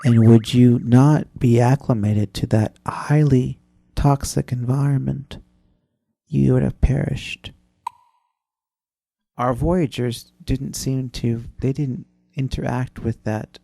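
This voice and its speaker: Salty old sea captain played by a man: slow, creaky, very mellow low-lit timbre, rambling radio-adventure storyteller, soft music underneath. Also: fairly even intonation, no room sound. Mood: mysterious, wondering. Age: older. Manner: halting, rambling, dramatic pauses and repetitions. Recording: voice over easy listening music. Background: easy listening soundtrack.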